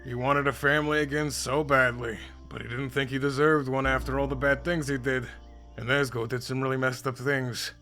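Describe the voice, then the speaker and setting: A man's voice: medium pitch, little pitch movement, moderate pace, bright, forceful tone, faint music behind, lightly acted. A man playing a laid-back, wry skeleton with a casual, dry, needling way of talking in a fan comic dub. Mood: grave, blunt.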